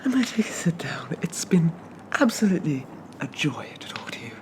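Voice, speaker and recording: A man playing a shy, polite, lovestruck Victorian zombie gentleman, speaking softly and haltingly with flowery apologies in an ASMR roleplay. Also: on the high side, sing-song, pitched above the performer's own voice. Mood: embarrassed. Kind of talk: ASMR roleplay, soft-spoken character monologue.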